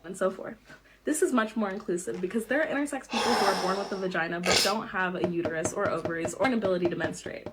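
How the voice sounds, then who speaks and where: Brisk woman giving instructive advice. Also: mid-range voice, very noisy. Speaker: social media creator. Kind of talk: explanatory advice clip.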